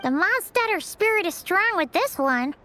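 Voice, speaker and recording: A woman voicing a tiny, perky fairy girl companion in a video game, with a squeaky childlike voice. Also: big pitch swings, moderate pace, pushed and bright, faint music behind, exaggerated voice. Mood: doubtful. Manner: perky, exclamatory, refers to self in third person. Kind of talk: video game character acting.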